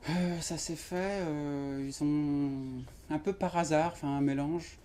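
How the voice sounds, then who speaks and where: A man with a mellow, warm tone talks about his writing at a bookshop event, speaking in a relaxed and halting way. He often stops mid-sentence and fills the gaps with small words. A faint hum of the room sits behind him. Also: a little high. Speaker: author at his book launch. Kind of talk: casual conversational interview. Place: bookshop event before an audience.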